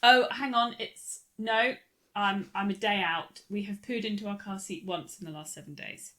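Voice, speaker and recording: A woman's voice: medium pitch, moderate pace, pushed and bright. A woman with a British accent co-hosting a podcast in a casual, chatty style with dry, wry humour. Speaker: podcast co-host. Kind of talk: casual chat.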